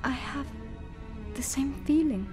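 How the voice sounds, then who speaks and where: An actress in an audio drama playing a soft, intense and mystical young Jedi woman. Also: high-pitched, takes it slowly, over music. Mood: intimate, mystical.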